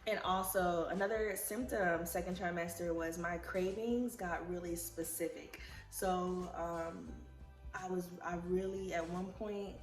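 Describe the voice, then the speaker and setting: A woman's voice: on the low side, fairly even intonation, a little slow. A young woman from Liberia, congested, chatting casually and rambling with many fillers in a vlog. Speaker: vlogger. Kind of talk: casual pregnancy recap vlog. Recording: roomy echo, faint music.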